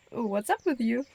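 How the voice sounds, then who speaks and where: A woman's voice: a little high, vocal fry, darkish tone, breathy edge, heavily acted. A woman playing a teasing young woman.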